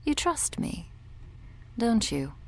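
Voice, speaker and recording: A woman playing a cold, domineering medic in an ASMR roleplay, speaking in a breathy, condescending near-whisper with quiet menace. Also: a little high, big pitch swings, takes it slowly, heavily acted. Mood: falsely soothing. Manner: condescending, menacing, coolly authoritative. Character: cold, sadistic, domineering woman medic running a captive operation.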